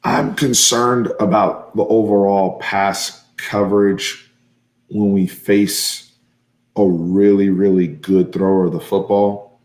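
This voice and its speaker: A hoarse man hosting a live fan stream, recapping a football game in an excitable, emphatic way and repeating his points. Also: lowish voice, takes it slowly. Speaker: host of a fan sports channel.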